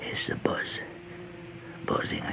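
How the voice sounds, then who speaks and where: An actor playing a starving, feeble, fearful vagrant in an old-time radio horror monologue, halting and stammering, with gasps and whispers. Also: male voice, lightly acted. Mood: hushed dread. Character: starving, feeble, once-proud male vagrant turned housebreaker, haunted and fearful. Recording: noisy old radio drama recording.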